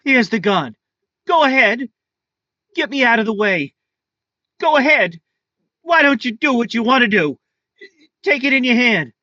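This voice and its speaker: Actor as tense, volatile young husband. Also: male voice, very high voice, animated, a little slow, pauses often, dry recording, dull audio, exaggerated voice. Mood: menacing, goading. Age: young adult. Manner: tense, clipped, forceful. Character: volatile, jealous young husband, turning menacing. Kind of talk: 1950s-style radio crime drama acting.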